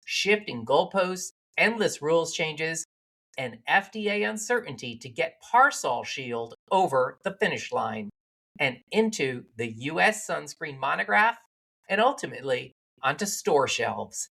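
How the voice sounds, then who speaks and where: Animated, laugh-prone podcast host man. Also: fairly high pitch, a little slow, creaky. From Connecticut. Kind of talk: casual interview chat.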